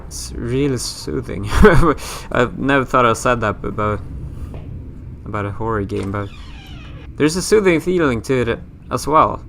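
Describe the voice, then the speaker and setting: A man's voice: medium pitch, lively intonation, unhurried, vocal fry, a bit bright, slight background noise. A man from Sweden with a Swedish accent, rambling and joking his way through a horror game let's play. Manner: rambling, self-mocking, swears casually. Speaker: gaming YouTuber.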